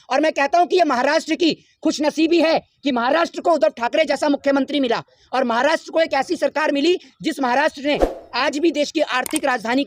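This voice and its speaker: A man's voice: extremely high pitch, no room sound, clean studio sound. A man giving impassioned political arguments in an interview, lecturing with pointed rhetorical questions.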